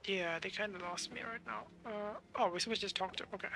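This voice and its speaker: A woman's voice: mid-range voice, fairly even intonation, fast talker. A woman giving casual live gameplay commentary as a streamer. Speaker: game streamer. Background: game music.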